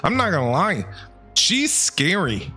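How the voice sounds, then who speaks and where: A man reacting casually as a video game streamer, excitable and slangy. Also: sits high, measured pace, soft background music. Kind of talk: casual live reaction commentary.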